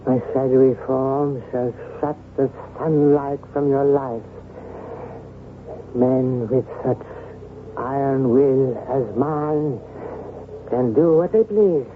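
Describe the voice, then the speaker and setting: An actor playing a dying, vengeful French nobleman in a radio drama, declaiming slowly and solemnly with menace. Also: medium pitch, lightly acted. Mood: vengeful, ominous. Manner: slow, solemn, theatrical declaiming. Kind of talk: 1970s radio drama acting. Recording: phone-like old radio broadcast, faint noise.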